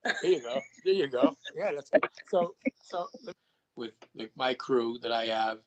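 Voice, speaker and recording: A man talks over a video call in a relaxed, loose way. He circles back on his own words, restarts sentences and repeats phrases as he talks about paranormal work. His voice sits at an ordinary middle pitch. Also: unhurried, low-quality recording. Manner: rambling, repeats himself with many restarts. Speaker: paranormal investigator. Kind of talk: casual video-call chat.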